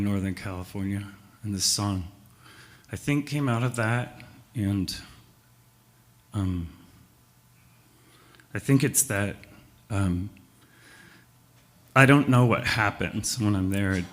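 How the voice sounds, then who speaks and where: A man, a songwriter, talking haltingly and self-deprecatingly on stage about his song. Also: lowish voice. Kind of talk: casual onstage talk. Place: concert stage.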